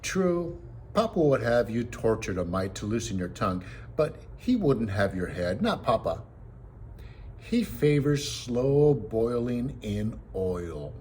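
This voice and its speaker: A man's voice: medium pitch, big pitch swings, takes it slowly, darkish tone, a little hiss or hum. A man voicing a clever boy who poses as a haughty, impatient prince in a storybook, princely and scornful. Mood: haughty, cool.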